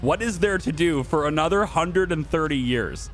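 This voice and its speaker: A man's voice: on the high side, animated, normal speed, fairly bright tone, faint music behind, low-quality recording. A man hosting a gaming video, talking casually and off the cuff to viewers in a chatty, rambling way. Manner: chatty, rambling, thinking aloud, addressing viewers and developers directly.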